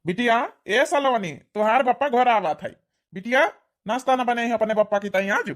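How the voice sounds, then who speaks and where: A mild village father from a cartoon comedy, acted by a man talks in an unusually high voice. He has an Awadhi accent. His manner is homely and coaxing, that of a dad who indulges his grown child. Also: moderate pace. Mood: coaxing, fond. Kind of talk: cartoon comedy dialect acting.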